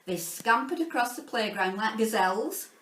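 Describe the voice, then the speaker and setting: A woman reading a children's story aloud in an expressive, playful, theatrical way, like a teacher narrating to pupils. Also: fairly high pitch, moderate pace. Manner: theatrical, playful, dramatizing sound words. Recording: roomy echo, distant from the mic.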